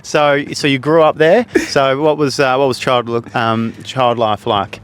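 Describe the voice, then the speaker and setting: A man hosts a relaxed podcast conversation at a medium pitch. He keeps things moving with friendly questions and quick little affirmations. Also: clean tone. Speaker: podcast host. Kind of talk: casual interview chat.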